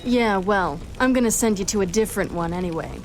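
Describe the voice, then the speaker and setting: An actress playing a tough, sardonic half-vampire heroine in a video game, cool and taunting. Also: female voice, medium pitch, a little fast, music in the background, close to an everyday voice. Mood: defiant. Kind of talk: video game cutscene acting.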